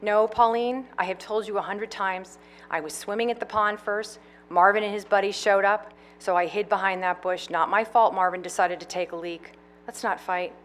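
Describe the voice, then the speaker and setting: A woman's voice: mid-range voice, fairly even intonation, normal speed, slight background noise, close to an everyday voice. A woman voicing a weary middle-aged man who answers defensively.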